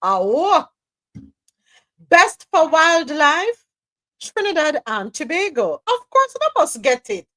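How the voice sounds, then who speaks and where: A woman's voice: sits high, sing-song, slow pace, pauses often, sharp tone, no echo. A woman with a Jamaican accent hosting a live radio chat show, reading articles aloud and laughing as she slips into patois. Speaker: host.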